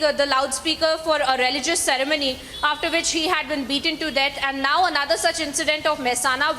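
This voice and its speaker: High, bright Indian woman reporter, echoing link. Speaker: news reporter. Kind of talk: live field report. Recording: reverberant live link, background noise.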